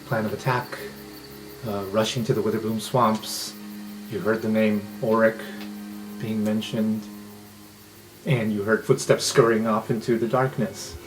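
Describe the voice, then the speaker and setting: A man's voice: fairly low pitch, flat delivery, unhurried, long pauses, over music, poor audio. A man acting as game master, narrating an improvised tabletop game.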